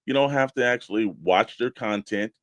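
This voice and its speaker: A man's voice: medium pitch, moderate pace, fairly bright tone, dry recording. Casual male talk show co-host, dry studio sound. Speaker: co-host of a toy collecting show. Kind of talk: casual opinion chat.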